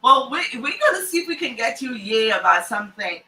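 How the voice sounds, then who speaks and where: A woman with a Trinidadian accent hosts a relaxed panel chat, teasing her guests and laughing easily. Her voice is bright and fairly high. It rings in an echoey room, a little off the mic. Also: fairly quick, distant mic. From Trinidad and Tobago. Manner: chatty, teasing, laughs often. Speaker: host. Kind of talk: casual panel talk.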